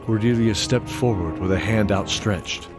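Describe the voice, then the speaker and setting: A man narrating a scripted fantasy audio drama in a deliberate, atmospheric storytelling style. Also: lowish voice, music in the background.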